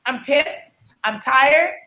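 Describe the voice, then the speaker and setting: High bright passionate New woman. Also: slow. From New Orleans, USA. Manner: passionate, heartfelt. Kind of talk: casual discussion. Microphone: video call. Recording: echoey room.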